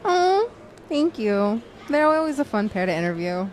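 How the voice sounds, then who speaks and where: A affectionate young woman in an audio drama, modest and slightly guarded. Her highish voice moves with lively pitch, and the recording has faint background noise. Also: normal speed.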